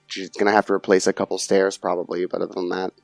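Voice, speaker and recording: A man's voice: low voice, monotone, fast, vocal fry, a little mellow, no room sound, soft background music. Laid-back man telling a story. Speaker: podcast co-host. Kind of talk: casual chat.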